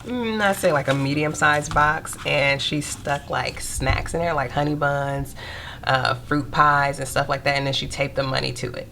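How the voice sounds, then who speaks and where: A young woman with a stuffy nose from a cold, chatting casually to viewers while counting out cash in a steady murmur. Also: low voice, moderate pace, creaky, slight background noise. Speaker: budgeting vlogger.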